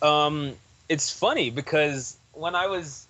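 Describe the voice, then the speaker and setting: A man's voice: on the high side, takes it slowly, a bit bright. A young man talking as a guest on a podcast, rambling loosely with lots of "like" and restarts. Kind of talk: casual interview chat.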